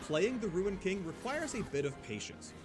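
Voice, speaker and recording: Animated male game-guide narrator over music. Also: sits high, normal speed. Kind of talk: scripted promotional narration for a video game. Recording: music under the voice.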